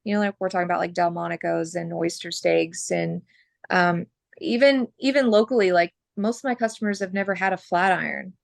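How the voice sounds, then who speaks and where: A woman from Oklahoma, a butcher, talking frankly as a podcast guest while losing her voice and clearing her throat. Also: fairly low pitch, a little fast, no echo, studio quality. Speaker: butcher and mobile processor owner.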